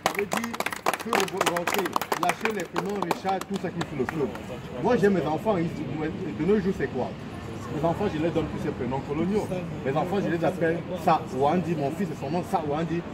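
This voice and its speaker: A man's voice: on the high side, moderate pace, a loud crowd. A man from Cameroon speaking at an outdoor protest. He asks pointed questions and turns them into rallying calls. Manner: earnest, questioning, slogan-like. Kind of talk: impassioned street speech. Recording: loud street noise outdoors.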